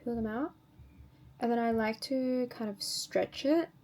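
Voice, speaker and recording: A woman's voice: a little high, a little slow, darkish tone. A woman chatting casually to viewers as a beauty vlogger, informally narrating each step of a routine. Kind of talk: casual tutorial chat to viewers.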